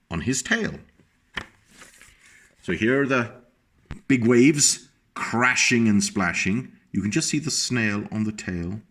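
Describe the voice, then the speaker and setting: Man, lowish voice, very slow, gentle, reading a picture book to kids, points out pictures. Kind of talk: children's storybook reading.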